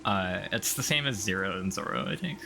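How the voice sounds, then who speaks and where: A man's voice: low voice, animated, moderate pace, vocal fry, background noise. A man giving casual, rambling gameplay commentary as a streamer, swearing freely and picking at details. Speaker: streamer playing a video game. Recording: game music behind the voice. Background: video game music.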